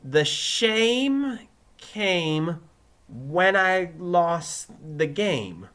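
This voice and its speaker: A man's voice: high-pitched, big pitch swings, very slow, a bit bright, pitched above the performer's own voice, full character voice. A man voicing an earnest male pupil in a story reading, reciting with laboured, over-enunciated care. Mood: effortful. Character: earnest, struggling male pupil practising careful elocution. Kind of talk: fan fiction reading with character voices.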